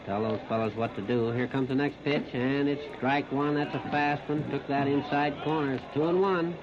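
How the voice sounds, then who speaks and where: A man's voice: mid-range voice, fairly even intonation, moderate pace, crowd noise. An American man calls live baseball play-by-play on the radio in short, plain-spoken, folksy phrases. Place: outdoor ballpark. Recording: noisy, muffled 1930s radio broadcast recording.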